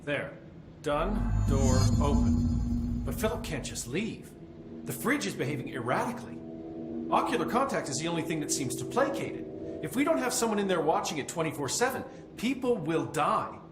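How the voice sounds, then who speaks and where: A man's voice: fairly high pitch, very animated, normal speed, over loud music. An actor playing an overworked male operator in a video game cutscene, flustered and formal, with pedantic explanations. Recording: echoey room, faint noise.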